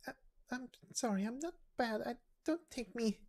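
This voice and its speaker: A woman's voice: a little high, lively intonation, moderate pace, a little mellow, voice pushed up. A woman voicing a frightened small child in a game story, timid and pleading with a stammer. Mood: scared, pleading.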